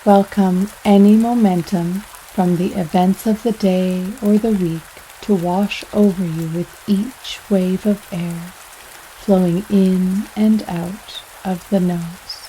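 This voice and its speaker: Slow, mellow woman guiding meditation. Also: medium pitch, a little hiss or hum, rough recording. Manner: soothing, unhurried, pausing between phrases. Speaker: yoga nidra guide. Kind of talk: guided meditation. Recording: steady rain sounds over voice. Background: rain sounds.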